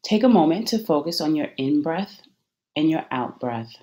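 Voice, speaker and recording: Measured guided meditation voice. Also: medium pitch, expressive, warm tone. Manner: measured, soothing instructional delivery with deliberate pauses.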